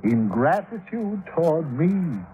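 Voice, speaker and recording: An actor playing a vain, pompous actor who declaims florid, archaic lines in for a 1950s radio drama. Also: male voice, fairly high pitch, sing-song, slow pace, phone-quality sound. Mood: lofty, mock-tragic. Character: vain, pompous Shakespeare-quoting male stage actor. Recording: vintage 1950s radio recording.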